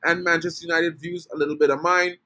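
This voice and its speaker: A man's voice: fairly high pitch, flat delivery, fast, sharp tone. A man from Trinidad, hosting a casual live-stream football fan update, chatty and greeting his viewers. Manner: chatty, greets chat viewers, says bro a lot. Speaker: football fan channel host.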